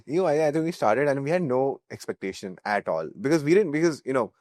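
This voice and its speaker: A man's voice: mid-range voice, lively intonation, fast, darkish tone, no room sound, studio quality. A young man with an Indian accent, a startup founder telling anecdotes casually in an interview. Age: young adult.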